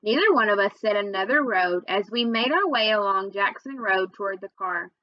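A woman's voice: mid-range voice, animated, moderate pace, no echo. A young adult woman speaking as a teacher reading aloud and talking to students. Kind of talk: storybook read-aloud and chat to students. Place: small indoor room at home. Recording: slightly dull webcam recording.

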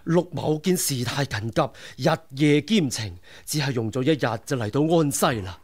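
A man's voice: mid-range voice, lively intonation, moderate pace, breathy edge. A man with a Hong Kong Cantonese accent playing an elderly martial arts master in a radio drama, humble and formal in his speech. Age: older. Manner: humble, polite, speaks in old formal phrases. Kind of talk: 1990s radio drama acting.

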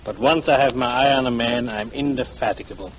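An actor playing a sly, florid middle-aged guide, ingratiating and pompous, in 1940s radio style. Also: male voice, mid-range voice, fairly quick, muffled recording, natural voice. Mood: oily, eager. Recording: noisy 1940s radio broadcast.

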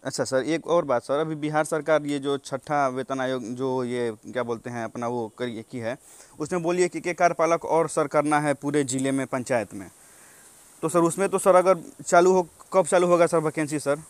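Clear-voiced young Bihari man, politely insistent. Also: on the high side, steady tone, normal speed, no room sound. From Bihar, India. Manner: polite, insistent, repeatedly saying sir. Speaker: job candidate calling an official. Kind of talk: deferential phone inquiry.

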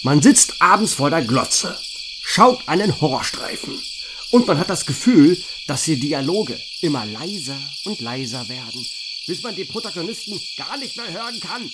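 A man's voice: a little high, very animated, normal speed, very noisy. A man playing a jittery, talkative camper in a self-aware horror-comedy audio play. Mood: wry. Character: jittery, talkative male camper and flustered story writer. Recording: music behind the voice.

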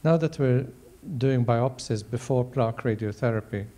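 Mellow, deliberate man in his sixties. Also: medium pitch. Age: 60s. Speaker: panel member, ocular oncologist. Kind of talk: measured panel discussion answer. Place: large auditorium stage. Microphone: handheld microphone.

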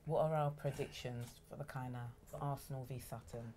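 Woman in her 30s, quite low voice, chatting casually about football as a show presenter. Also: steady tone, moderate pace, dark tone, a little hiss or hum. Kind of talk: casual sports chat. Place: small indoor studio set. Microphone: lapel mic.

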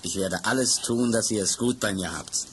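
Man doing a chill reassuring dad, lowish voice, old radio drama. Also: moderate pace, slight background noise, close to an everyday voice. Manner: warm, calm and reassuring. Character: kindly father, actor and theatre director. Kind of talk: vintage German radio play.

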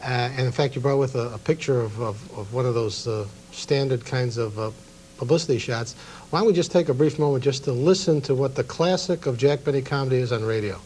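A man's voice: medium pitch, brisk, a little mellow. A middle-aged man with an American accent, chatting casually as a talk show host. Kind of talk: casual interview chat. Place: TV studio. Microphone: lapel mic. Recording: faintly noisy old TV tape with hum.

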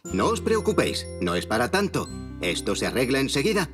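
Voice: medium pitch, wildly swooping pitch, a little fast, music in the background. An actor voicing a friendly, easygoing male zebra shopkeeper in a children's cartoon, genial and reassuring. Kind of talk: animated children's cartoon dubbing.

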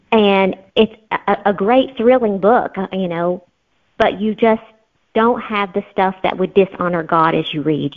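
A woman guest talks casually in an interview with a mid-pitched voice. Her sound is thin and tinny, like a phone line. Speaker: podcast guest, fiction author. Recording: tinny phone-like call audio.

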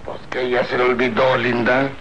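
A man playing a brutish, lecherous rural bandit with a gravelly voice and a Mexican accent in a radio soap opera. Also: mid-range voice, completely flat delivery, normal speed, close to an everyday voice. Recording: dull, noisy old radio serial recording.

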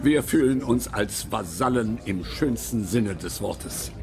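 A loyal imperial courtier in a radio play, formal and reverent. He speaks unhurriedly with a lively melody, music playing clearly behind him. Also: fairly low pitch, a little slow. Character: loyal courtier companion of the Kaiser recounting a voyage. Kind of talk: historical radio play, recited memoir.

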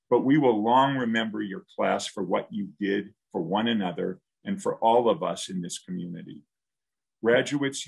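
A man in his sixties giving a scripted commencement speech as a university dean, in a measured, formal way over a video call. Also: fairly low pitch, vocal fry, slightly rough, low-quality recording. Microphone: video call, computer microphone.

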